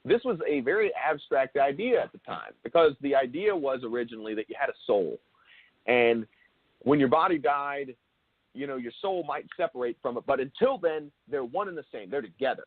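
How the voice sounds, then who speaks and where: A man's voice: medium pitch, expressive, dry recording. A man's voice comes through a thin, phone-like connection with a dry sound. He speaks as an interview host explaining ideas off the cuff, repeating and rewording phrases as he goes and leaning on key words. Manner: halting, repeats and rephrases himself, emphatic on key words. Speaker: show host interviewing a guest. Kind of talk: unscripted explanatory discussion. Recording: thin phone-like call audio.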